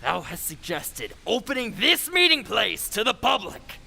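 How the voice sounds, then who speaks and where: A man playing the vengeful ghost of a stern old Puritan in old-time radio horror style, making slow, menacing, archaic proclamations in a gravelly voice. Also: sits high, very animated, sharp tone, in a large hall, heavily acted.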